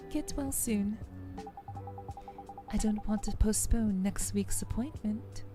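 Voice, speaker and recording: A woman's voice: mid-range voice, slow pace, dark tone, pitched above the performer's own voice, natural voice. A woman voicing a caring, upbeat young woman from a visual novel, light and attentive. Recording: music behind the voice.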